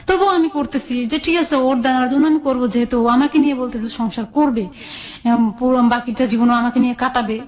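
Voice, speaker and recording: Woman, Bangladeshi accent, higher-pitched voice, colloquial personal storytelling, recounted dialogue, interview guest. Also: steady tone, fairly quick, a little mellow. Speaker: guest telling own life story.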